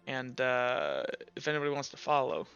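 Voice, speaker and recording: A man narrating a tabletop game as game master in a casual, halting, conversational way. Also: mid-range voice, very animated, vocal fry, fairly bright tone, clear, resonant tone, dry recording. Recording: slightly dull, lo-fi audio. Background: music.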